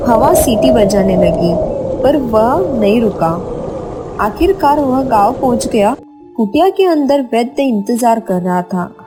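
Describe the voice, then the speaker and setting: A woman's voice: on the high side, mellow. A woman narrating a scripted horror story with dramatic storytelling delivery.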